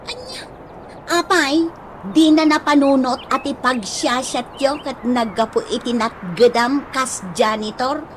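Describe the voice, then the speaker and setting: A woman's voice: high voice, animated, unhurried, slight background noise, low-quality recording. A woman playing a wife who questions and reasons with her husband in an Ilocano comedy radio drama. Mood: questioning.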